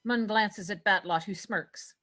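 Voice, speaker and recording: A woman narrates a script, reading out stage directions. Her voice is bright and forward, sitting at a medium pitch. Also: measured pace.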